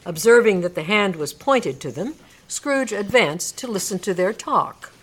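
A woman narrating a classic story in a live dramatic reading, with measured, theatrical phrasing. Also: medium pitch, lively intonation, breathy edge.

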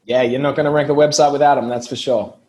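Relaxed Australian man explaining. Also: medium pitch, fast. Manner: relaxed and explanatory, laughs easily. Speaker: guest, SEO business owner. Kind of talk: casual podcast interview.

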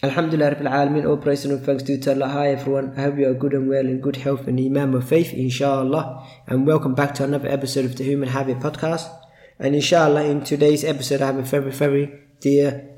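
A man hosting a casual podcast interview, often dropping in short Arabic religious phrases and quick "yeah"s. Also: mid-range voice, darkish tone. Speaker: podcast host. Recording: echoey room.

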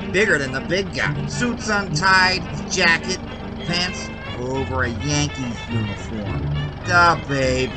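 A gabby American cabbie from the 1920s played by a man, his voice pitched on the high side. He is a folksy, slangy baseball lover who spins rambling yarns in a radio-play style, with music clearly behind him. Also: lightly acted, low-quality recording. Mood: animated yarn. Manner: colloquial, slangy, rambling storyteller. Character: talkative 1920s Chicago cabbie, folksy baseball-loving yarn spinner. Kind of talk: audio drama acting.

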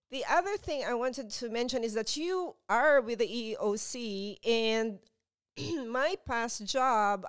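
Female podcast host, smooth clear voice, excitable and rambling, chatty delivery, dry sound. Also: a little high, no room sound. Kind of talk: chatty interview hosting.

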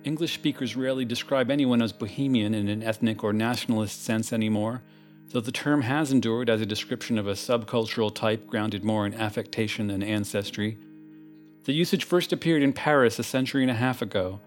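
A man hosting with scripted essay narration in a measured, erudite, slightly wry manner. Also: lowish voice. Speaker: host. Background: plucked guitar music.

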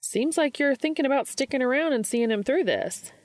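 A brash, blunt older brother in an audiobook story, acted out by a woman, pitched up from the narration. The manner is casual and teasing, and the recording is dry and close. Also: animated, brisk, darkish tone, no echo. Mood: probing.

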